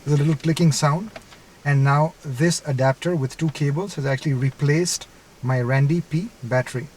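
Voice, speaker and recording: Guy doing a casual step by step product demo in his car, voice a bit high, car noise in the back. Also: normal speed, a little mellow. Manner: explanatory, step-by-step. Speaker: product demonstrator. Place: inside a car. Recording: clear car-interior background noise. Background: vehicle ambience.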